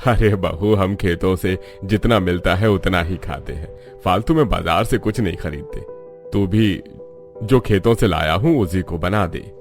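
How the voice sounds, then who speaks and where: Man acting gravelly, low-voiced old village father-in-law. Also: measured pace, soft background music. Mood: firm. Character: thrifty, plain-living older village farmhand father-in-law. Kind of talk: Hindi cartoon story voice acting.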